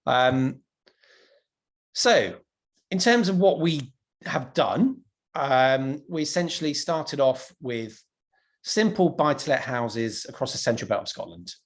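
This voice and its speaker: A man from London giving an online property presentation in a relaxed, conversational way, with lots of ums and restarts. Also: mid-range voice, slow pace. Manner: casual, many ums and restarts.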